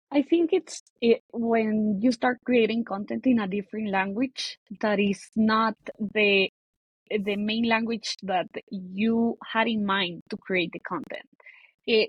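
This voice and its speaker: A woman's voice: medium pitch, fairly even intonation, a little slow, slightly rough, dry recording, muffled recording. A woman, a guest on a podcast talking about content marketing, often restarting and cutting off words as she thinks. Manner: hesitant, frequent restarts and cut-off words. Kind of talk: casual interview conversation.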